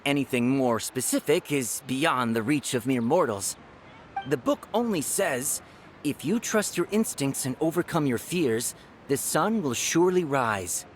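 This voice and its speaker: A man playing a proud, excitable fortune-teller in a video game, with a theatrical, expressive delivery. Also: medium pitch, measured pace, slight background noise, close to an everyday voice. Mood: solemn.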